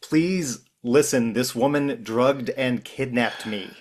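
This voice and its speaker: A man playing an exhausted, indignant ordinary guy in a script table read, dry and halting in delivery. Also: mid-range voice, darkish tone. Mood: weary.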